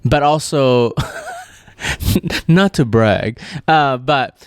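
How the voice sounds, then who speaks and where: A man's voice: mid-range voice, drawn-out words, no echo, studio quality. A young man from Malaysia co-hosting a podcast, chatting casually and animatedly with lots of fillers. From Malaysia (Sabah, Borneo). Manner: animated, rambling with many fillers. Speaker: podcast co-host. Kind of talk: casual chat.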